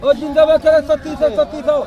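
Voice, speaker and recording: A man's voice: extremely high pitch, completely flat delivery, fast talker, muffled recording. A man, a fish seller calling out prices repeatedly at an outdoor village market. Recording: noisy crowded outdoor market. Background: loud crowd and street noise.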